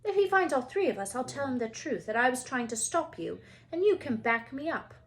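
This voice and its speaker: A woman voicing a bossy, indignant schoolgirl in a storybook, shrill and scolding. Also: very animated, fairly quick, fairly bright tone, heavily acted. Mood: defiant. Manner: scolding, insistent.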